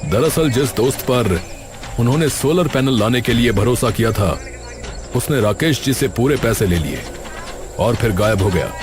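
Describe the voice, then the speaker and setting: A man playing a sober, sympathetic police inspector in an animated story. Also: mid-range voice, moderate pace, noisy background, close to an everyday voice. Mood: grave, explaining.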